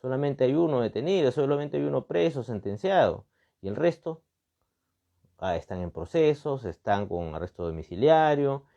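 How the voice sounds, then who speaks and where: A man's voice: medium pitch, lively intonation, moderate pace, gravelly, mellow. Muffled Peruvian man giving political analysis. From Peru. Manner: emphatic, repeats phrases, frequent rhetorical questions and tags. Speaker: political and constitutional commentator. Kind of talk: extemporaneous analytical talk.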